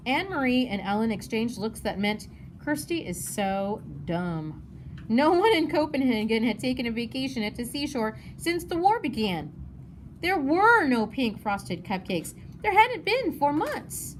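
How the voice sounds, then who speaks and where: A woman's voice: high voice, big pitch swings, normal speed, a little hiss or hum. A woman narrating a children's novel as a read-aloud.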